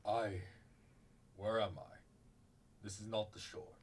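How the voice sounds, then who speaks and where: A woman playing a weary, towering bronze automaton guard, halting and solemn in old-fashioned speech. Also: a bass voice, a little mellow, breathy edge, heavily acted. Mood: confused. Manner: halting, solemn, archaic diction. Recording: distant from the mic.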